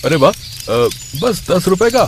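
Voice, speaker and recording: Man doing an excitable, gushing food customer, raised voice. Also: sits high, slow, vocal fry, slight background noise, voice pushed up, exaggerated voice. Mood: excited, curious. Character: greedy, excitable male street-food customer. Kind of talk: animated moral story cartoon voice acting.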